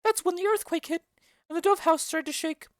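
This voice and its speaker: A woman voicing a chattering, bawdy old female nurse in a dramatic reading of a play, rambling and loud. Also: very high-pitched, brisk, no echo, clean studio sound. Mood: gossipy. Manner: loud, rambling, giggly storytelling.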